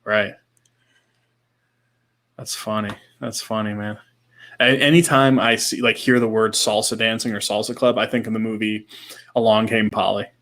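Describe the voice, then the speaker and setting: A man's voice: lowish voice, steady tone, normal speed, long pauses, fairly bright tone. A man in his thirties co-hosting a casual podcast, talking in a laid-back, slangy way with short repeated reactions, sometimes reading out comments. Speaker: podcast co-host.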